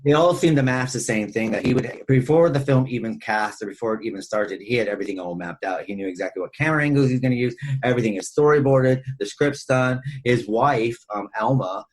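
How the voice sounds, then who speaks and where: A man with a husky voice talking casually and ramblingly as a podcast host discussing film. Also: medium pitch, quick pace, few pauses, rough recording.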